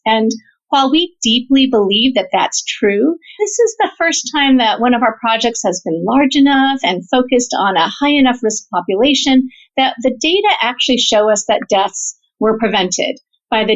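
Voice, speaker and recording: A woman physician researcher explaining her work as an interview guest, articulate, earnest and emphatic. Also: fairly high pitch. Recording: slightly dull, lo-fi audio.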